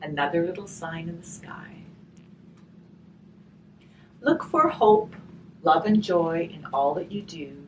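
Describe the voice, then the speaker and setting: A woman reading a picture book aloud slowly and expressively, pausing to chat and put questions to her listeners. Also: on the low side. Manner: slow, expressive reading with chatty questions to the audience. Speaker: hospice volunteer reading a picture book to children. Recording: distant mic, faint background noise.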